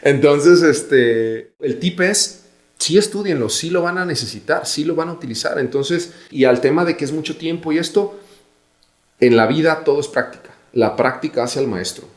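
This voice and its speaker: Mexican guy explaining career stuff, calm, repeats himself, roomy echo. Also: a little high. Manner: measured, explanatory, repeats phrases for emphasis. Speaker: guest. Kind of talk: interview, career advice.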